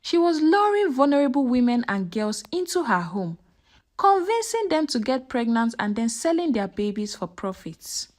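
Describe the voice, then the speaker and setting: A woman narrates a dramatic folktale as a storyteller. Her voice sits fairly high and swings widely in melody, giving the scripted narration a very animated delivery. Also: moderate pace.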